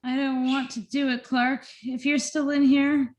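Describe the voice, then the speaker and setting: A woman's voice: high-pitched, flat delivery, a little slow, a little mellow. A woman playing an anguished but determined reporter in a script read, speaking earnestly and with emotion. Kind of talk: amateur table read of a film script.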